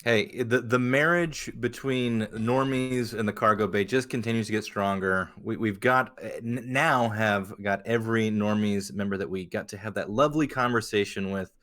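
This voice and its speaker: A man chatting casually as a podcast co-host, halting and repeating words as he goes. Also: on the low side, fairly bright tone.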